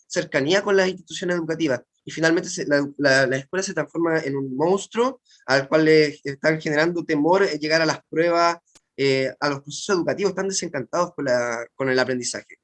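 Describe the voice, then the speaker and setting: A man speaking up in an online class, halting and restarting as he talks. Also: on the high side, a bit bright. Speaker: course participant.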